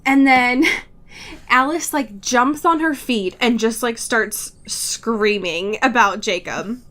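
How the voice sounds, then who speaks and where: A woman's voice: a little high, slow pace, a bit bright. An American woman co-hosting a podcast, chatting casually through a comedic book recap in a slangy, mock-exasperated way. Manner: exasperated mock-dramatic delivery, slangy, giggly. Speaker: podcast co-host.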